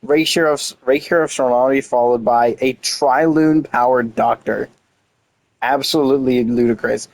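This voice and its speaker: A man's voice: medium pitch, fairly even intonation, normal speed, no echo, poor audio. A man giving casual playthrough commentary as a game streamer, muttering offhand asides. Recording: game music behind the voice.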